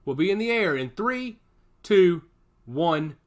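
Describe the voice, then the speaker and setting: A man voicing a businesslike transport pilot who speaks in crisp calls. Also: high-pitched, sing-song, takes it slowly, voice pushed up, exaggerated voice. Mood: brisk.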